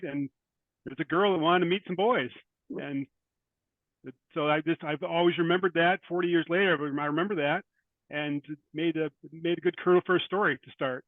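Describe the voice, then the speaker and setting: An older man talks as a guest author through a narrow, phone-like connection. His voice is fairly high for a man and dry, with no room sound. He answers in a hesitant, reflective, conversational way. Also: dry recording. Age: 50s or older. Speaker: author being interviewed. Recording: tinny phone-like line, dry.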